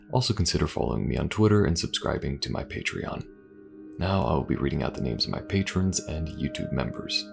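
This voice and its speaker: A man's voice: very deep voice, creaky. A man who created the show, reading scripted thanks and credits as its narrator.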